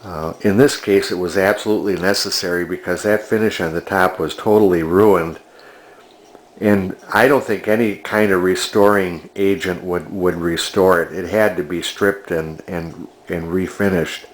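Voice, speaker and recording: An older man with a fairly low voice talks through a hands-on project. He is plainspoken and matter-of-fact, often halting as he casually explains each step. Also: steady tone. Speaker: antique restoration hobbyist demonstrating a refinishing project.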